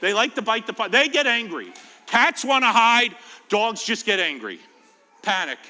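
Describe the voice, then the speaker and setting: A man's voice: high voice, big pitch swings, normal speed, sharp tone, a little hiss or hum. A man with an American accent telling stories to children in an informal, jokey way, as a firefighter giving a talk. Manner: informal, jokey, asks the listeners questions. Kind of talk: animated storytelling to children. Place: reverberant indoor library room. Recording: reverberant hall.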